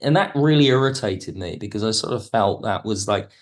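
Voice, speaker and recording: Low-pitched man, reflective interview guest. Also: lively intonation, fairly quick. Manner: discursive, circles back and rephrases. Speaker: guest, audio drama writer. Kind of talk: podcast interview, reflective conversation.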